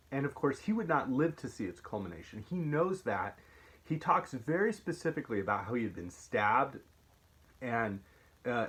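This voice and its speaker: Brief: male teacher, husky tone, mid pitch, reflective and halting, reading and discussing a speech. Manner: thoughtful, halting, frequent restarts and fillers. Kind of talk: casual spoken commentary with read-aloud passages.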